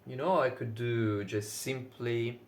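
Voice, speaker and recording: A man whose first language is Russian, speaking English with a Russian accent in casual solo talk, now and then pausing to search for words and swearing lightly. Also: mid-range voice, a little hiss or hum. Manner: says he is loud most of the time. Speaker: video creator.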